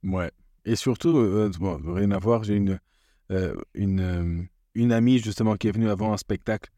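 A playful man from Portugal, a stand-up comic, speaking with a Portuguese accent shaded by English. His voice sits fairly low, and he keeps the chat jokey. The recording is dry and close. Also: moderate pace, dark tone, no room sound. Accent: Portuguese with English influence. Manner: jokey, playful, laughs often. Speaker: stand-up comedian, podcast guest. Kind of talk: casual podcast chat.

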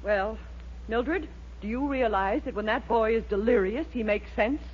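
A woman's voice: a little high, normal speed, slightly rough. An actress playing a formidable, suspicious middle-aged mother in a 1940s radio comedy, theatrical and dry, with an American accent. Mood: sympathetic, suspicious. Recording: muffled old radio recording, faint hiss.

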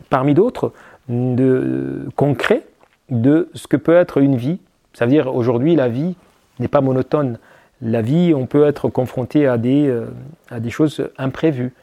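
A middle-aged man and former soldier answering interview questions about his book in an earnest, measured way, with frequent hesitations. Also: medium pitch, gravelly, mellow.

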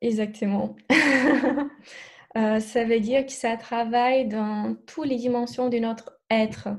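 A Brazilian woman speaking French with a Brazilian accent, a therapist guest in a podcast interview, talking hesitantly with many pauses and restarts. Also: a little high, fairly even intonation, slight background noise. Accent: Brazilian Portuguese.

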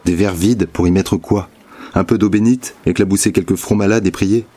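An actor playing a grave, disillusioned journalist in a solemn, measured and literary audio drama monologue. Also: male voice, low-pitched, steady tone, warm tone. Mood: bitter, resigned. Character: Andreï, grave, disillusioned male journalist confessing truth.

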